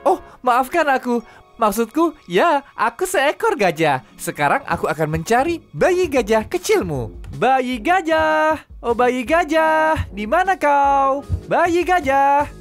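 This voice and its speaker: An actor voicing a cheerful, eager young talking train engine in a children's cartoon dub, playful and expressive. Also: sits high, takes it slowly, heavily acted. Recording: background music under the voice.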